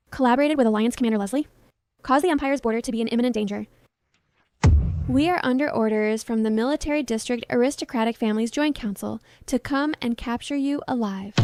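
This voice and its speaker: A woman's voice: a little high, a little mellow, dry recording, natural voice. A woman playing a smug, accusing military officer in an English anime dub.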